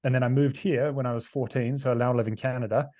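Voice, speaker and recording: A man raised in New Zealand, a podcast guest telling quick, chatty stories. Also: mid-range voice, steady tone, mellow, no room sound, studio quality. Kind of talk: casual interview chat.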